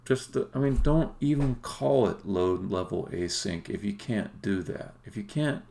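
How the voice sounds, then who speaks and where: A man's voice: fairly low pitch, expressive, unhurried, creaky, dark tone. A man streaming live coding as a game developer, talking casually and bluntly in unscripted, sometimes sweary fragments as he thinks aloud. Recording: faint background noise, keyboard clicks.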